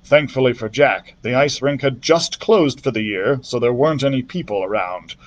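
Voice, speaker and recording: A man's voice: mid-range voice, normal speed, muffled recording. Man reading a storybook aloud. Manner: steady storytelling narration with small slips. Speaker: children's librarian. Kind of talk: reading a children's book aloud.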